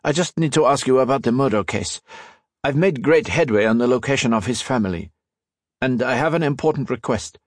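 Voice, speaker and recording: A man's voice: medium pitch, a little fast, dry recording, clean studio sound, voice pushed up, natural voice. A man reading as a young male spy in disguise, formal and deferential.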